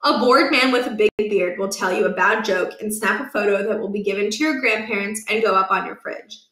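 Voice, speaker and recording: A woman reading a children's book aloud as expressive narration, with measured, emphatic phrasing. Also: mid-range voice, reverberant, poor audio.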